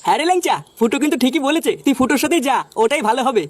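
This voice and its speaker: Someone playing a high, hurried Bengali cartoon wiseguy. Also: a little hiss or hum, lightly acted. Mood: chatty. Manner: coarse, slangy, needling. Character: brash, scornful, foul-mouthed Bengali cartoon village fellow. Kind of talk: comic cartoon dialogue.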